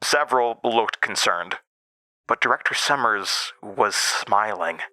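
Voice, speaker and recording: An actor playing a haunted man who recounts frightening childhood memories in halting, uneasy phrases for a horror audio drama. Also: on the low side, expressive, pushed and bright, no room sound, full character voice. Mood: anxious, brooding. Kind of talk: first-person horror audio drama narration.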